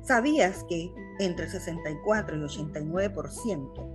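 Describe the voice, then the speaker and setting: Woman in her 70s, steady clear reading, webcam, music behind. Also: on the low side, moderate pace. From Panama. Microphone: video call webcam.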